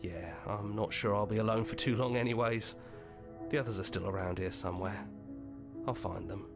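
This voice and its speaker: A man voicing an earnest, soft-spoken young hero in a video game, thoughtful and loyal. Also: on the low side, normal speed, creaky, over music. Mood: gentle, accepting. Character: earnest heroic young man, gentle inner voice and loyal companion. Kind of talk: visual novel game voice acting.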